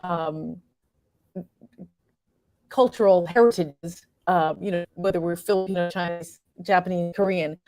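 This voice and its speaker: A woman's voice: lowish voice, steady tone, measured pace, long pauses, a little mellow, no echo. A woman being interviewed as a playwright and performer, speaking reflectively and earnestly, often searching for her words.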